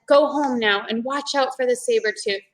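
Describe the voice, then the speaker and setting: A woman's voice: on the high side, moderate pace, echoey room, far from the mic, a little hiss or hum, close to an everyday voice. A woman voicing a cautious, earnest young boy in a storybook in a plain, restrained way.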